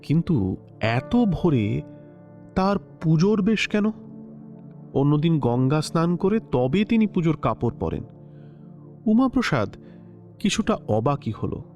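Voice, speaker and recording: A man narrating a classic story in a measured, expressive literary style. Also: on the high side. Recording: slightly dull lo-fi recording with soft music.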